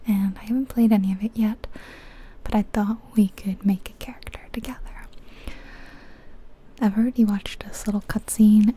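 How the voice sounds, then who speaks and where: A woman whispering breathily and gently close to the mic in an unhurried, chatty ASMR game commentary. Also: medium pitch, a little hiss or hum. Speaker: ASMR video game streamer.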